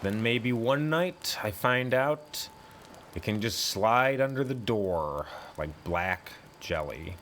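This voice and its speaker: A man narrating a first-person horror story in a deliberate, brooding, suspenseful way, as in a dramatic audiobook. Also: fairly low pitch, animated, fairly bright tone, a little hiss or hum.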